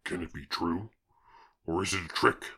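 A man voicing an anguished, desperate swamp monster in a dramatic comic-recap reading, with a husky, gravelly voice. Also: deep voice, normal speed, sharp tone, voice pushed down, heavily acted. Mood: pleading.

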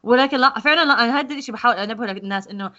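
Brief: young woman, rapid casual chat, dull muffled call audio. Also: a little high, pushed and bright. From Jordan. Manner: fast, thinks aloud with restarts.

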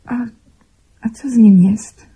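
A woman's voice: medium pitch, slow, mellow, breathy edge, poor audio. An actress playing a tender, bewildered, trusting young woman in a radio drama. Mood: faint, bewildered. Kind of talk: Polish radio drama acting.

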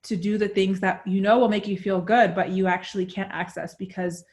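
A woman speaking in a measured, articulate way in a casual panel discussion. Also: medium pitch. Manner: measured, articulate, flat-toned.

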